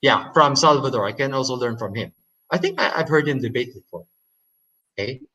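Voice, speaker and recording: A man whose first language is Hokkien Chinese, giving a presentation in English with many fillers, restarts and pauses. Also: mid-range voice, sharp tone. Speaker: debate presenter. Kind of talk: halting explanatory presentation.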